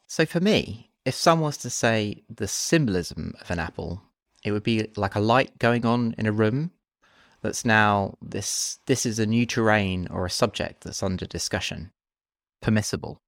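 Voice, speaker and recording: Calm low-voiced male host. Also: dry recording, clean studio sound. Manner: calm, guiding, slow listing of prompts. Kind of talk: guiding imaginative exercises in conversation.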